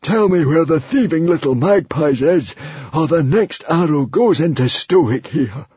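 A man voicing a menacing, easily flustered elderly male librarian with a raspy, strained voice in an audiobook story. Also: a little high, lively intonation, measured pace, warm tone, no echo, muffled recording, pitched above the performer's own voice, full character voice. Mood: threatening. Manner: stammering when rattled.

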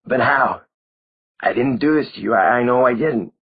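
A man's voice: medium pitch, moderate pace, breathy edge, narrow, tinny audio, pitched above the performer's own voice. A man reading an audiobook who voices a frightened, earnest teenage vampire boy, anxious and stammering. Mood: pleading.

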